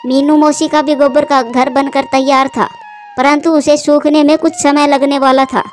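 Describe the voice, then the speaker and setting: High-pitched female story narrator. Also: flat delivery, normal speed. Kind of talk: scripted children's story narration. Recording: music behind the voice.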